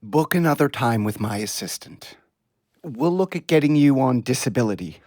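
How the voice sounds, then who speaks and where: An actor playing a harried but caring middle-aged family doctor, brisk and instructive, in an audio play. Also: male voice, medium pitch, expressive, darkish tone, dry recording, studio quality, natural voice. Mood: firm, helpful.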